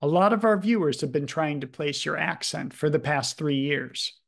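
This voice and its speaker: A man's voice: on the high side, animated, normal speed, gravelly. A man working as a video producer, reading out audience questions in an easygoing, conversational way.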